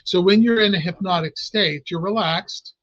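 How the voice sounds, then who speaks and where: A man's voice: high-pitched, normal speed, fairly bright tone, muffled recording. A man, a clinical hypnotist, explaining his work in a casual interview, with frequent fillers and restarts. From Italian American family.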